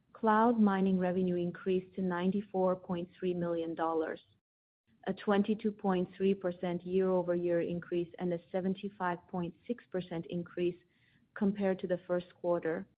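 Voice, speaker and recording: Phone-line woman reading formal financial results. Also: on the low side. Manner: formal, read-out delivery. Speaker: finance executive. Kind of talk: scripted financial results presentation. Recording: tinny phone-line conference call audio.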